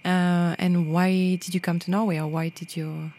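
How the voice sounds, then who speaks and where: A woman's voice: fairly low pitch, flat delivery, moderate pace, a little mellow, a little hiss or hum. A woman from France hosting a podcast in a radio studio, leading the chat with a hesitant, filler-heavy delivery. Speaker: podcast host.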